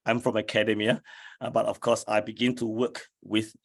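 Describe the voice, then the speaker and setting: A man, an academic, giving a slide lecture in a hoarse voice, speaking deliberately with many fillers. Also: lowish voice, flat delivery. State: hoarse from flu.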